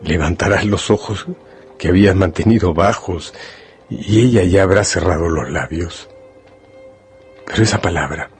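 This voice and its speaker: A solemn male storyteller for a radio drama, with a very low voice. His delivery is measured, intimate and hypnotic, over soft music. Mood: suspenseful. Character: solemn, hypnotic male narrator addressing the listener as protagonist. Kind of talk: scripted literary radio narration. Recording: music behind the voice.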